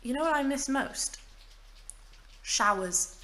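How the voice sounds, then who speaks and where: Bright-voiced, wry, stranded mage played by a woman. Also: high voice, animated, slow pace, heavily acted. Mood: wistful. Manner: rambling, self-mocking asides, sighs. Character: stranded female mage, centuries alone, wry, bitter, fragile. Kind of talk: audio drama monologue, naturalistic acting.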